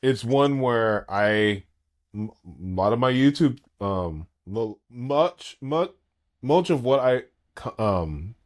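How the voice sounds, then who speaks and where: A man in his late thirties chatting casually as a podcast co-host, an animated and gushing fan. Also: mid-range voice, slow. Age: 30s.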